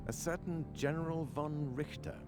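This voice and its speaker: An actor playing a composed British military adviser in a video game, speaking in a dry, understated, clipped way. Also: male voice, a little high, fairly even intonation, normal speed, lightly acted. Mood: dry, wry. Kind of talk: video game cutscene and mission dialogue acting. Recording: loud orchestral game music behind.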